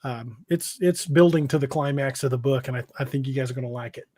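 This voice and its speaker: A man casually hosting a livestream, reading out comments and chatting in a halting, hesitant way. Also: mid-range voice, a crowd in the background. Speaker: podcast host and novelist. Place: indoors on an internet livestream video call.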